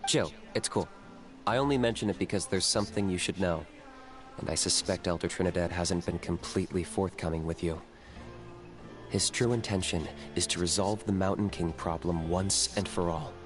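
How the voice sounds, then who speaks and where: A calm young male hunter as an actor plays him, blunt and deadpan, with a lowish voice. He delivers everything matter-of-factly over game music. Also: normal speed, lightly acted. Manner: blunt, matter-of-fact, laid-back. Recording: game audio with music behind.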